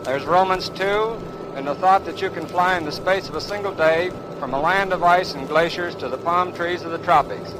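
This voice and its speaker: A man giving formal, scripted remarks as a radio guest, declamatory and measured, on an old 1930s recording. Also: high voice, background noise. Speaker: guest aviation expert.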